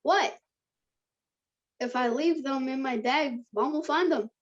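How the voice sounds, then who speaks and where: A woman's voice: high-pitched, slow, highs cut off. A woman playing a confident, enterprising teenage boy in a screenplay table read. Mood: casual.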